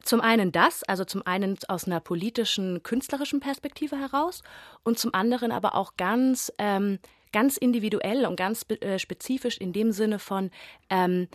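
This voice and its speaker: A woman's voice: mid-range voice, moderate pace, dry recording, clean studio sound. A young woman theatre performer from Bavaria answering radio interview questions thoughtfully, with many fillers and emphatic stretches.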